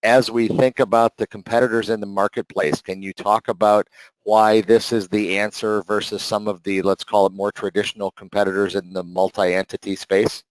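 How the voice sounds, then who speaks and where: A man with a very low, flat voice asks measured webinar questions. The sound is dry and close, with no room around it. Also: no echo, rough recording. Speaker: interviewer.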